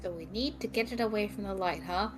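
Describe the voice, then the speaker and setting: A woman's voice: medium pitch, fast talker. A woman player casually narrating her character's actions in a tabletop roleplaying game, wry and informal. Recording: music behind the voice.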